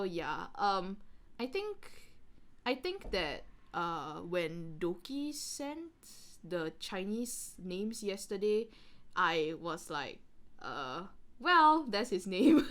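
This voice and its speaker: A woman's voice: mid-range voice, bright, forceful tone. A woman co-host chatting casually on a read-along podcast in a giggly, rambling way. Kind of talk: casual reaction chat.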